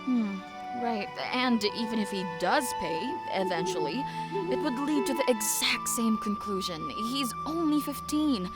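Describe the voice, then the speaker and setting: A woman's voice: fairly high pitch, expressive, measured pace, over loud music. A woman playing an anxious, protective young elder sister in an audio drama, earnest and uneasy. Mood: worried, grave.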